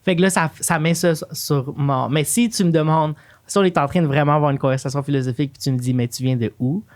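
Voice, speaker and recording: A woman's voice: sits low, fast talker, a little mellow. A young woman from the Montreal area with a Quebec French accent, co-hosting a casual podcast in slangy French mixed with English. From Montreal area, Quebec, of Chilean parents. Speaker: podcast co-host. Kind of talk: casual conversational podcast chat.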